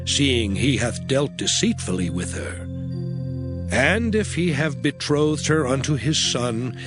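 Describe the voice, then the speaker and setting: An actor playing a solemn biblical prophet and lawgiver, declaiming scripture in a measured dramatized reading. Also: male voice, mid-range voice, big pitch swings. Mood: measured, stern. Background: music.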